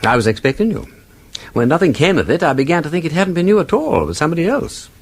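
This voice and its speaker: An actor playing an urbane, composed gentleman detective with an upper-class English accent, polite and understated, in a radio drama. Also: fairly high pitch, very animated, fast talker. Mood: coolly probing.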